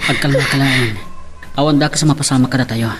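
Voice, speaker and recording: An actor with an Ilocano Filipino accent playing a gentle, reassuring suitor in a radio love drama. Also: male voice, mid-range voice, fairly even intonation, quick pace, lightly acted. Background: music.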